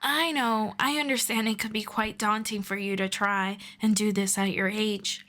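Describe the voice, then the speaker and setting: A woman voicing a gentle, patient female elf mentor in an ASMR roleplay, speaking softly and slowly and slipping into whispers. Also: mid-range voice, fairly even intonation, natural voice. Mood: gentle, soothing. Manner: soft-spoken, slow and patient, drifting into whispers. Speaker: voice actor.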